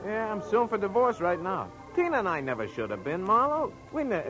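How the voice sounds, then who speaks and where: A man's voice: high-pitched, sing-song, a little fast, fairly bright tone, poor audio. An actor playing a shady, dapper ex-con businessman with slangy, insistent tough-guy talk in 1940s radio style. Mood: confiding. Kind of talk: 1940s radio crime drama acting. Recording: old radio recording with music.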